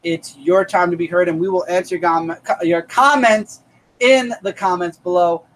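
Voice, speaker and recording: High-voiced upbeat man hosting a stream. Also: steady tone, normal speed, slight background noise, low-quality recording. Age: 30s. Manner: upbeat, shouting out viewers by name. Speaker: host of a comic review live stream. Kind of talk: casual live-stream chat.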